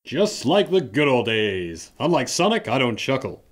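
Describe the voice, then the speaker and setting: A man's voice: mid-range voice, big pitch swings, normal speed. A self-important male echidna who talks theatrically and crowns himself leader, played by a man. His voice swings widely, giving every line a grand, showy lilt. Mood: pompous. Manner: theatrical, pompous, melodramatic. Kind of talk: fan audio drama acting.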